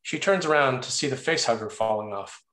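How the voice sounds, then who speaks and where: A man's voice: mid-range voice, expressive, brisk, fairly bright tone, poor audio. A man reading stage directions as the narrator of a screenplay table read.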